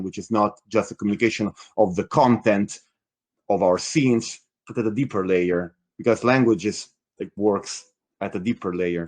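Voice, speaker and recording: Hesitant man moderating a panel. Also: on the low side. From Italy. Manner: hesitant, repeats words, restarts phrases. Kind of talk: online panel discussion.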